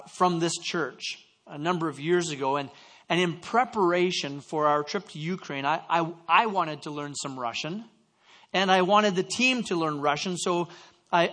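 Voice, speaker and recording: A man's voice: a little high, sharp tone. A man from Canada preaching a sermon, telling stories with self-deprecating asides and stumbling restarts. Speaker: pastor.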